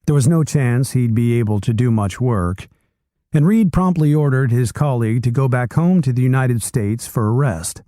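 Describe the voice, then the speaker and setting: A man reading a nonfiction book aloud in calm, measured storytelling narration. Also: mid-range voice, no room sound, clean studio sound.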